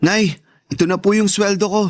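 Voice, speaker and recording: An actor playing a polite, proud young Filipino son in a radio drama, speaking earnestly. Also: male voice, high voice, fairly even intonation, normal speed, no echo, natural voice. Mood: apologetic. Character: meek but proud, hardworking young son. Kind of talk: Tagalog radio drama acting.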